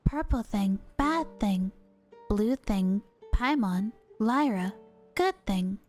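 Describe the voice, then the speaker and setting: A woman voicing a shy, gentle sea creature from a video game, speaking in halting fragments of one or two words. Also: mid-range voice, animated, faint music behind, pitched below the performer's own voice. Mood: halting, earnest. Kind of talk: video game dialogue read aloud.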